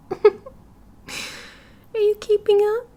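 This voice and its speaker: Woman acting a slow, high-voiced British yandere girl. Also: little pitch movement, dark tone, full character voice. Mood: chatty, teasing. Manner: sweet, giggly, cooing, rambling. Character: oblivious, cheerful, doting yandere kidnapper girl. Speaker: ASMR roleplay performer. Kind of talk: ASMR audio roleplay, soft casual monologue.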